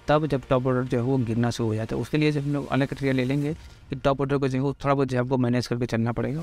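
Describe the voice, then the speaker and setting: Guy racing through cricket numbers, pretty flat delivery, faint music under it. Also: mid-range voice. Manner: rattles off figures with constant fillers. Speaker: cricket pitch report presenter. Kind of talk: fast informal cricket stats rundown.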